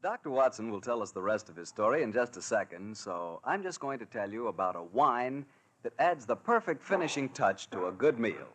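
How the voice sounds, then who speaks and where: Male American pitchman for vintage radio, enthusiastic commercial delivery, very animated melody, mid-range voice. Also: a little fast, noisy background. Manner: smooth-flowing, enthusiastic salesmanship. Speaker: announcer and sponsor pitchman. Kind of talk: 1940s radio commercial announcing.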